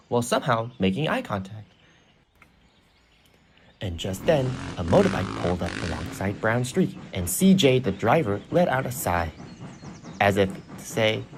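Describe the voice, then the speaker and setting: A man's voice: fairly low pitch, slight background noise. A man narrating a scripted children's story in storybook style. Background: music.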